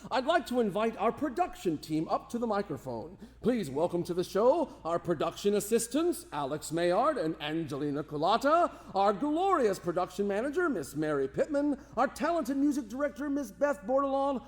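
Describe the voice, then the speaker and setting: Male radio show host: high-voiced, animated, grandiose and playful. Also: moderate pace, few pauses. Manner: grandiose, playful, formal introductions. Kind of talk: theatrical showman hosting.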